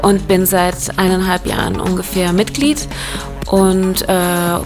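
A woman's voice: lowish voice, little pitch movement, slow pace, over music. A woman from Italy, a photographer, talking casually and hesitantly as an interview guest.

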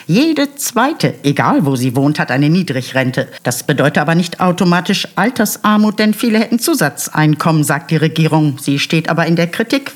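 A woman delivering a radio news report in an emphatic, formal style. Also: deep voice.

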